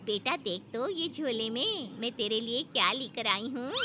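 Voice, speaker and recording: A woman's voice: a little high, animated, measured pace, sharp tone, slight background noise. A woman voicing a cheerful, chatty mother from a village in a cartoon story, gushing and eager. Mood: excited. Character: cheerful, eager village mother visiting her married daughter.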